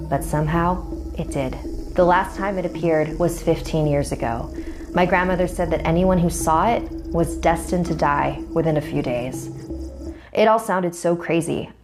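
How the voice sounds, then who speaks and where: A woman narrating a scripted first-person horror story as a storyteller. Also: fairly low pitch. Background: music.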